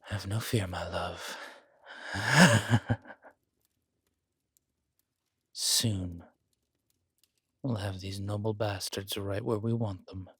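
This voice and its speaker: A man playing a controlled, vengeful villain king who speaks with measured, quiet menace in an intimate audio roleplay. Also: fairly low pitch, steady tone, husky, no echo, heavily acted. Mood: scheming, menacing.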